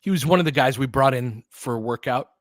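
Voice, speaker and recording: A man's voice: mid-range voice, fast talker, no echo, studio quality. A man giving informal live basketball play-by-play as a partisan commentator who urges on the home team.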